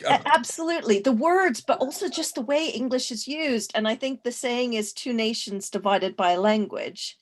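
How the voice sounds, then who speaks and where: A woman's voice: on the high side, moderate pace, hardly any pauses. A woman from Canada speaking as a panelist in a casual discussion, thoughtful, with frequent fillers and restarts.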